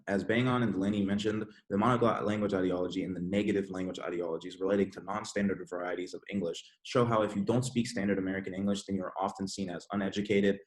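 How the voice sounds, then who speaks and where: A man hosts a scripted panel podcast in a formal, read-aloud manner. His voice is very low and he talks quickly. The room is echoey. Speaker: podcast host.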